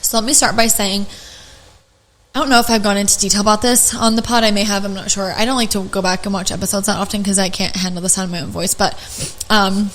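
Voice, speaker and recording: A young woman with a slightly raspy voice hosts a casual podcast, pitched in the middle. She rambles through a story, restarting sentences and dropping in lots of "like" and "um". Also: fairly even intonation, fast, a bit bright, slight background noise. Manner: chatty storyteller, many fillers and restarts. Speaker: podcast host.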